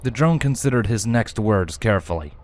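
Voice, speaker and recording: A man reading scripted dramatic story narration in a solemn, deliberate manner. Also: fairly low pitch, animated, darkish tone, no room sound.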